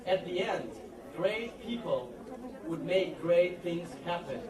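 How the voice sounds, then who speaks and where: A man's voice: high-pitched, slow. Young man with a slight Texas accent, speaking in a hall. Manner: relaxed and proud, reading pages, some restarts. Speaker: alumnus giving a speech. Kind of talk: prepared speech at a party. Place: large hall at a party. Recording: echoey hall, mic far off, loud crowd.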